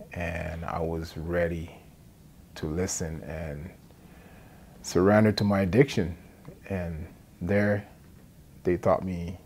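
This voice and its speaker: A man from Liberia speaks. He shares a personal testimony as a recovery support worker, plainly and with halting phrasing. Faint noise sits behind his words. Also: sits low, a little mellow. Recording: noticeable background noise.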